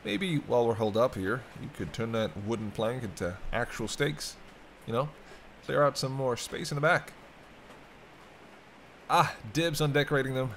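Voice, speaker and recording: A man's voice: medium pitch, moderate pace, fairly bright tone, lightly acted. A man playing a sarcastic, teasing captive vampire in casual, sweary banter for an intimate roleplay audio drama. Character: teasing, sardonic male vampire held captive by a hunter. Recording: clear background ambience noise.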